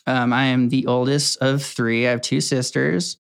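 Young man, from Connecticut, casual interview guest, average pitch, filler-heavy rambling. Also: moderate pace, creaky. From Connecticut, USA. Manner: relaxed, rambling, many ums and restarts. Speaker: video editor, interview guest.

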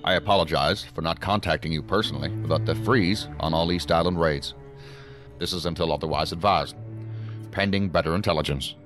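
An actor playing a measured senior federal agency director in a sci-fi audio drama, formal and deliberate in his speech. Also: sits low, over music, natural voice. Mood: commanding, businesslike.

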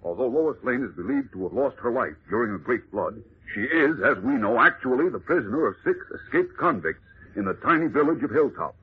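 A man's voice: mid-range voice, expressive, a little fast, husky. A man narrating as a 1940s radio serial announcer, with theatrical, emphatic delivery. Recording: muffled old radio, faint noise.

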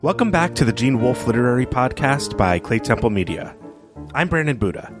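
A man co-hosting a literary podcast, speaking in a measured, analytical way. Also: medium pitch, sing-song, over music. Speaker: podcast co-host. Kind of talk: literary discussion, partly reading aloud.

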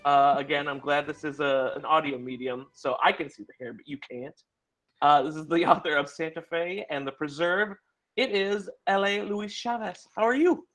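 A man's voice: on the high side, fairly even intonation, normal speed, a bit bright. A man hosting a podcast, joking and self-deprecating, with hesitant fillers as he leads a casual interview. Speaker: podcast host.

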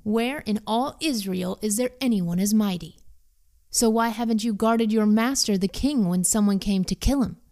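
A woman as an earnest young warrior, mellow and hushed. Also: medium pitch, a little slow, natural voice. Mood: taunting. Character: David, bold young male fugitive warrior, earnest and reverent.

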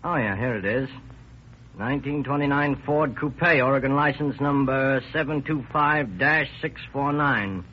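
A man's voice: mid-range voice, a little slow, close to an everyday voice. A man plays a folksy officer in a vintage radio drama. He talks casually in a mid-range voice. The sound is dull and slightly noisy. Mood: casual, helpful. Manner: casual, hesitant, plainspoken. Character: folksy, easygoing male officer. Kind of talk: old-time radio drama acting. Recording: muffled, noisy old radio recording.

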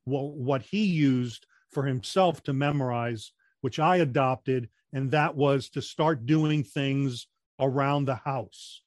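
A man speaks in a measured, explanatory way, with a voice that carries well. He often restarts his sentences as he works through an idea. The sound is close and dry, with no room echo. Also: medium pitch, darkish tone. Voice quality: projecting voice. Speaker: guest, corporate trainer. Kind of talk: conversational interview.

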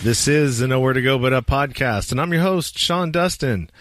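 A man's voice: medium pitch, normal speed, a bit bright, soft background music. A man hosting a podcast, talking in a loose, rambling, casual way. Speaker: podcast host.

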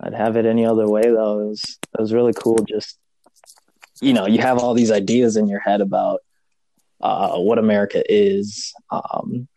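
A man from Kenya, a podcast guest, talking in a relaxed, easygoing way and pausing often to find his words. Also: on the low side, no room sound, poor audio. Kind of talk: casual interview chat.

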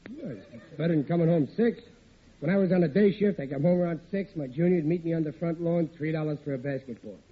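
An actor playing a bumbling, folksy American working-class husband who grumbles in ungrammatical talk on an old radio sitcom. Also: male voice, a little high, quick pace, husky, narrow, tinny audio. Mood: wry grumbling. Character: blustery, bumbling working-class family man at an aircraft plant. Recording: thin, noisy vintage radio recording.

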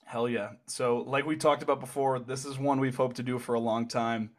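A man's voice: mid-range voice, fairly even intonation, normal speed. A laid-back man hosts a podcast, asking casual questions in a mid-range voice. His speech is slangy and easygoing. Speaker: podcast host. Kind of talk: casual interview.

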